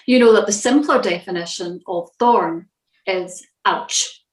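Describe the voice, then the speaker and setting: A woman's voice: medium pitch, a little slow. Woman reading a devotional in an echoing room. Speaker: church devotional reader.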